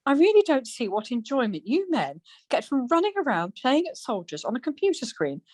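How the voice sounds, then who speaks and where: An actress playing a dry, sarcastic, teasing middle-aged wife in an amateur radio play. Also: female voice, sits high, wildly swooping pitch, brisk, a little mellow, no echo, heavily acted.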